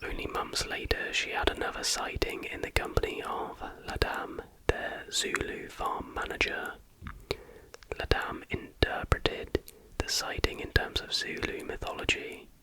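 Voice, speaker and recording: A man reading aloud in a soft, breathy, unvoiced whisper as an informal ASMR host. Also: a little slow, poor audio.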